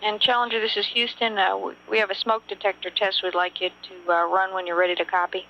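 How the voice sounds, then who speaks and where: A woman capsule communicator at mission control, giving crisp procedural instructions over a lo-fi radio link. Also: mid-range voice, little pitch movement, fairly quick. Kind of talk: air-to-ground radio communication. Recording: dull lo-fi radio with faint hiss.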